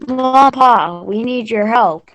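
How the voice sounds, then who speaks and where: A woman's voice: on the high side, slow, dry recording, dull audio. A woman playing a folksy, bold frontier hunter in a readers theater piece for children, with a declamatory delivery. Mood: earnest, rallying.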